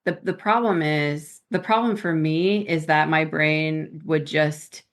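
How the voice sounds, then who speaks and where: Low-voiced chatty woman farmer. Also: steady tone, slow. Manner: chatty, laughs often, trails off mid-sentence. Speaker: podcast guest, farmer. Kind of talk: casual chat.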